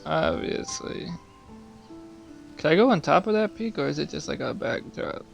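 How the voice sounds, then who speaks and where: Young man with creaky, casual gamer talk. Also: fairly high pitch, expressive, normal speed, faint music behind. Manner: slangy, offhand, profane. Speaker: gamer playing on camera or stream. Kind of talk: casual gameplay commentary.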